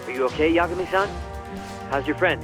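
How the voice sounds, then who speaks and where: A man's voice: fairly high pitch, brisk, natural voice. An actor playing an earnest, alert male informant in a video game, reporting politely and with urgency. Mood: relieved, alert. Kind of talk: English video game cutscene acting. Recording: music behind the voice.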